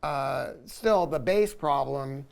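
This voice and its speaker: A man's voice: medium pitch, slow pace, creaky. A man hosting a casual interview chat about products. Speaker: host, audio retailer. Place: video studio.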